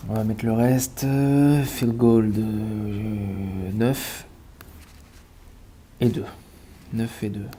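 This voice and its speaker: A man's voice: on the low side, steady tone, drawn-out words, pauses often, mellow, a little hiss or hum. A man with a cold, musing aloud over basketball stats, muttering and repeating words while he eats. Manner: eating while talking. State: has a cold. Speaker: sports betting analyst. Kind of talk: casual thinking-aloud match analysis.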